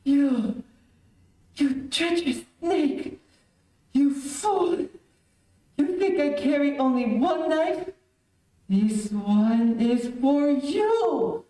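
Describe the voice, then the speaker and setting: An actor playing a vengeful, cold older convict, accusing and theatrical, in old-time radio melodrama. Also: male voice, very high-pitched, lively intonation, very slow, long pauses, dark tone, heavily acted. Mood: angry. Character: vengeful gray-bearded escaped convict, cold and menacing. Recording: very echoey room, distant mic.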